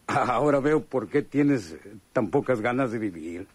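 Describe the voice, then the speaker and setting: A man's voice: mid-range voice, expressive, measured pace, a little mellow, husky, dry recording. A Mexican man acting a chummy, sly, hardened convict in a radio drama, talking in slangy, hesitant phrases. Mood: chummy, curious. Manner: slangy, hesitant drawl with knowing chuckles.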